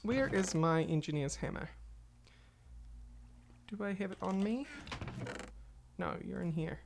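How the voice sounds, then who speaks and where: A woman with a low voice talks viewers through her game session in a loose, rambling way. She sounds a little stuffy with a cold. Keyboard typing clicks in the background. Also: animated, a little slow, creaky, noisy background. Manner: chatty, rambling, talks to viewers while playing. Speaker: gaming channel host. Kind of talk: casual Let's Play commentary.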